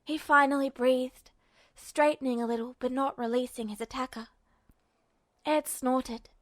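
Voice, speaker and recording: A woman's voice: high-pitched, steady tone, a little slow, no echo. A woman reading scripted fiction as an audiobook-style narrator. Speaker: podfic narrator.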